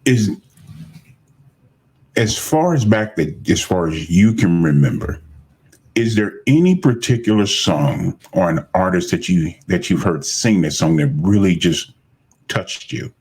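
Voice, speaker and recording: A man's voice: low voice, sing-song, unhurried, a little mellow, husky. A man hosting a podcast interview, admiring and searching for his words as he asks questions. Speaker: podcast host.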